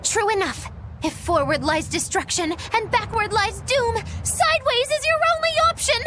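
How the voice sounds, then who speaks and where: A woman's voice: very high-pitched, expressive, normal speed, background noise. A woman playing a flippant, girlish self-styled witch in a video game, with a playful, mock-dramatic delivery. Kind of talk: English video game dub acting. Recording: loud music behind voice.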